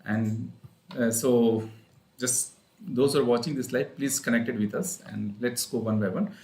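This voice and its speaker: A man's voice: medium pitch, steady tone, normal speed, a little mellow. An Indian-accented man, a speech therapist, hosting a live online session with many fillers and restarts. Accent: Indian English. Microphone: online call. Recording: roomy echo.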